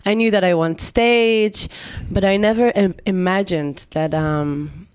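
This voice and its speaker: A woman's voice: medium pitch, a little slow, clean tone. A woman, a singer-songwriter guest on a podcast, speaking expressively and searching for words. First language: Hebrew. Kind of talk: reflective podcast conversation.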